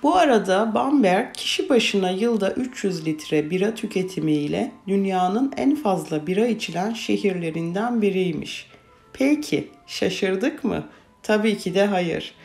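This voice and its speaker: A woman's voice: medium pitch, a little mellow. A woman narrating a travel vlog as its presenter. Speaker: travel vlogger.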